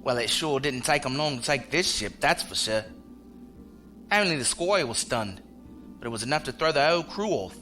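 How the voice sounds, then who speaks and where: Man acting a bright-voiced, folksy space security man. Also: mid-range voice, lively intonation, normal speed, soft background music. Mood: briefing eagerly. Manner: colloquial, folksy slang. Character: rough-spoken ex-security chief, blunt, loyal male spacer. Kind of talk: science fiction audio drama acting.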